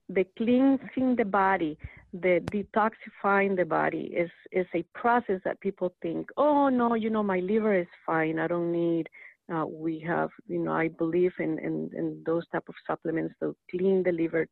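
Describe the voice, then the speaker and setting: A woman's voice: lowish voice, vocal fry, dry recording. A woman from Rica, a guest talking earnestly and eagerly in a casual chat, often restarting her words. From Costa Rica. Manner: earnest, animated, with frequent restarts. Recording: tinny phone line, dry.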